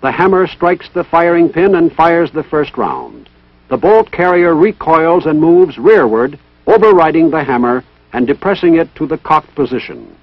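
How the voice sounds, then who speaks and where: A man's voice: fairly high pitch, big pitch swings, normal speed, dry recording. A man gives formal, methodical scripted narration as the narrator of an instructional training film. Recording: dull, band-limited vintage military film soundtrack.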